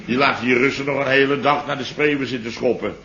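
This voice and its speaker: A man's voice: medium pitch, fairly even intonation, fast, pushed and bright. A man playing a blustering, irritable middle-aged boss in a 1960s radio play who keeps protesting and grumbling. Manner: blustering, indignant, exclaims "te helle". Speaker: the boss ("chef"). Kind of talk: 1960s radio drama acting. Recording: dull lo-fi old radio recording with faint hiss.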